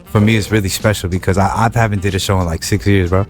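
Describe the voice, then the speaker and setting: A young man with a very low voice talks casually as a podcast guest, stumbling over repeated words and restarting his sentences. Faint music sits behind him. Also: fairly even intonation, quick pace. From Wilmington, California. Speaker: guest rapper. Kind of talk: casual interview chat.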